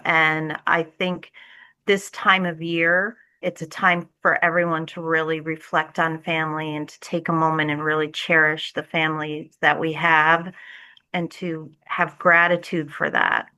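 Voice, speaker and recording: A woman's voice: deep voice, bright, forceful tone. A woman speaking as a community theatre director in a thoughtful interview, with an earnest, enthusiastic way of talking.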